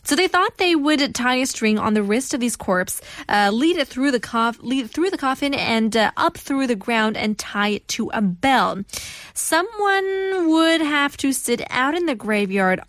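A woman's voice: high-pitched, expressive, unhurried, fairly bright tone, no echo, clean studio sound. A woman co-hosting a show on English expressions, explaining and chatting in a casual, informal way. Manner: informal, interjecting.

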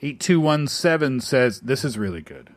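A man hosting a radio show with a very animated, swooping voice at a middle pitch. He jokes around casually and reads out listener messages in relaxed studio banter. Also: normal speed.

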